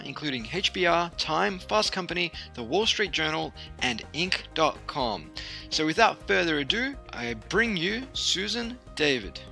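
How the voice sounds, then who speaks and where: A male podcast host presents in a fairly high, bright voice. There is some gravelly creak at the edges as he introduces and interviews guests.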